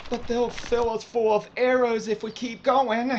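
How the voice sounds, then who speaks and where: A man's voice: very high voice, little pitch movement, unhurried, a little mellow, lo-fi sound. A man playing a reluctant gunfighter who calls himself a regular guy, with a nervous, folksy drawl. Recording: noisy audio drama recording.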